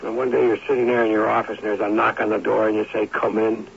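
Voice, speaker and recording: A man's voice: lowish voice, flat delivery, fast talker, gravelly. A man acting in an old-time radio drama as a weary, bitter former college athlete in his forties, theatrical and brooding. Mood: brooding, haunted. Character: bitter, weary former college sports star turned adman. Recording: muffled, hissy old radio recording.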